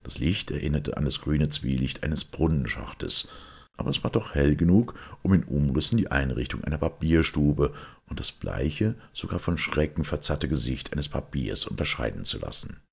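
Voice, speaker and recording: A man reading a detective story as an audiobook, narrating in a measured, deliberate literary style. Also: very deep voice, expressive, creaky, slightly rough. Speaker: audiobook reader.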